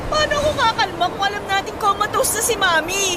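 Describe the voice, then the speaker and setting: A woman's voice: very high voice, expressive, quick pace, a loud crowd, heavy background noise. A woman playing an anguished young daughter in a radio drama, pleading and emotional. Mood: agitated impatience. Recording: loud music under the voice. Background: loud music.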